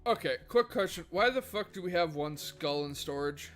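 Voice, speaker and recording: A man's voice: a little high, expressive, normal speed, a bit bright. A man livestreaming a video game, chatting casually with viewers in a rambling way and swearing freely. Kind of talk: casual gaming livestream chat. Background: faint background music.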